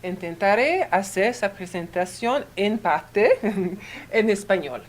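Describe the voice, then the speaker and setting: A native French-speaking woman with a French accent, lecturing carefully and haltingly in a language not her own. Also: mid-range voice, lively intonation. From Canada. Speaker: guest lecturer, psychology professor. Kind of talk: academic presentation. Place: university auditorium. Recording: noisy auditorium recording.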